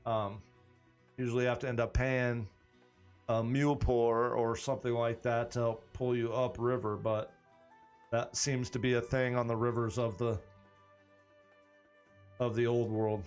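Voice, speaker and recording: A man in a middle-pitched voice leads a tabletop game on a live stream as its game master. He rambles through plans and corrects himself as he goes, with faint music playing under him. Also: little pitch movement, measured pace, pauses often, darkish tone, clear, resonant tone. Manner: rambling, thinks aloud with frequent restarts. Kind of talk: running a tabletop campaign stream, chatting with viewers.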